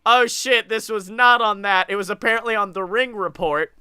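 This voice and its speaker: A young man with a somewhat high, bright voice talks casually as a podcast co-host. His delivery is animated, jokey and profane, and the sound is clean and dry. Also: normal speed, clean tone, dry recording. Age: young adult. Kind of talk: casual, joking wrestling podcast banter. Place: small room at home, on a video call.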